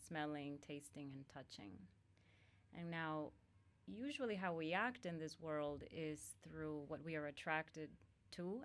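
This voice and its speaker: A woman from Honduras, a guest yoga teacher, talking in a calm and measured way about spiritual practice. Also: low-pitched.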